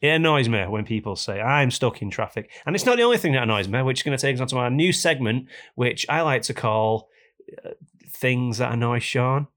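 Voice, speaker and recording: A man hosting a casual podcast, hoarse and raspy from a cold, ranting jokily about things that annoy him. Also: medium pitch, animated, brisk, fairly bright tone. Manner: jokey, complaining rants. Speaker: podcast host.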